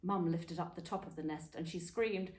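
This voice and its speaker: A woman reading a children's book aloud as a storyteller, expressively and dramatically, with emphatic pauses and stressed words. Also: on the low side, heavy background noise. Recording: echoey room.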